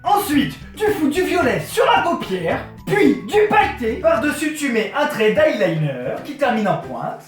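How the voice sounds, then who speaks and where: A woman giving a crude comic makeup tutorial, brash and mocking, shouting her punchlines. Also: high-pitched, sing-song, a little slow, few pauses, reverberant, over music.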